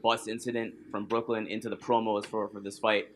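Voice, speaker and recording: A man's voice: on the low side, little pitch movement, a little fast, slight background noise. A man moderating a press conference, asking the fighters questions.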